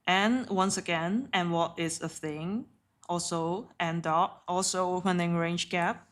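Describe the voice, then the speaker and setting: A woman's voice: lowish voice, fairly even intonation, measured pace. A woman whose first language is Cantonese, talking casually and candidly through a live trading stream with off-hand laughs. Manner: chatty and candid, swears offhandedly, often laughs. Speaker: live-streaming day trader.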